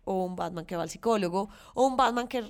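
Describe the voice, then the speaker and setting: A woman's voice: medium pitch, a little fast, clean tone. Colombian woman chatting casually, thinking aloud. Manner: informal, hesitant, repeats words while thinking. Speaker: podcast co-host. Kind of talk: casual chat about pop culture.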